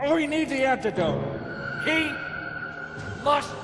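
An actor playing an agitated, distraught soldier in a video game, speaking with strained emphasis. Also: male voice, very high voice, very animated, slow, heavily acted. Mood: desperate. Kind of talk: video game cutscene acting. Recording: echoey, music behind the voice.

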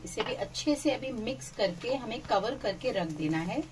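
A woman's voice: fairly high pitch, expressive, moderate pace, recorded from a distance, background noise. A woman hosting her cooking channel, chatting through a recipe as voice-over and mixing English phrases into her talk.

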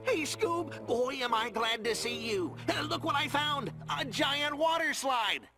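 A goofy, cowardly young slacker from a cartoon game. The voice is very high and swoops about, whiny and hesitant, with music behind. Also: male voice, animated, a little slow, full character voice. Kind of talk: cartoon video game acting.

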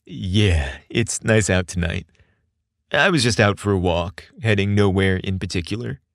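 A man voicing a shy, awkward young man who speaks softly and bashfully. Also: deep voice, a little slow, creaky, no room sound, studio quality. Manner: soft-spoken and bashful.

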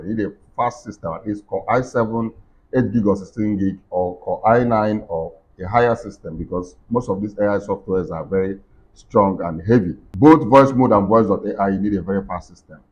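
A man in his thirties giving a casual software tutorial, earnestly repeating his points to viewers at a desk computer microphone. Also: lowish voice, husky. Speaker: tech tutorial host. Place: small indoor room. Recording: dull, muffled lo-fi sound.

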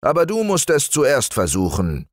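A man voicing a vain, fussy male bear in a storybook reading, raising his voice high and making it whiny. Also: wildly swooping pitch, normal speed, dry recording, studio quality.

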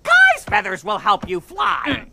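A woman voicing an excitable, cocky, hot-tempered bird in a dubbed cartoon, boastful, exclamatory and whiny, with music underneath. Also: high voice, slow, gravelly, background noise, full character voice. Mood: eager, scheming. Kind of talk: animated cartoon dub acting.

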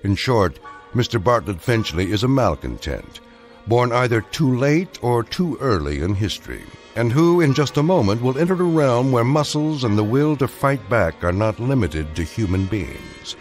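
An actor as a wry, ominous narrator delivering scripted introductions for an anthology radio drama. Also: male voice, medium pitch, big pitch swings, normal speed, soft background music, natural voice. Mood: measured, ominous.

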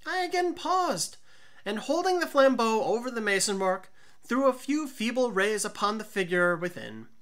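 A woman reading a gothic story aloud in a deliberate, theatrical narrating style. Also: fairly high pitch, big pitch swings, fairly bright tone. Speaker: audiobook narrator.

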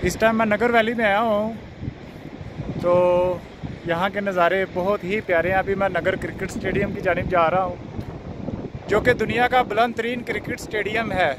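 A man's voice: high voice, steady tone. A man in his thirties narrating a casual travel vlog outdoors on a handheld phone. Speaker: travel vlogger. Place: outdoors in an open mountain valley. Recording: windy outdoor phone audio, loud noise.

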